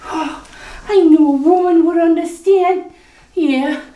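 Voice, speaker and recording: A woman plays a slangy young gun moll with a very high, squeaky voice who drawls her words out slowly. She's tough-talking but lovesick, and her lines keep tipping into sobs. The voice sounds far from the mic in an echoey room. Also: mellow. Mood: weepy. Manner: slangy tough-girl talk, swings into sobbing. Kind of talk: 1940s radio acting. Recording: roomy echo from far off the mic.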